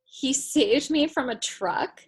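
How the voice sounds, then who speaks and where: A woman's voice: high-pitched, slow pace. A woman playing a bewildered, skeptical young woman meeting her future child in a table read, giving short, incredulous replies. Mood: stunned. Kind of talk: virtual table read of a play. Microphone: video call.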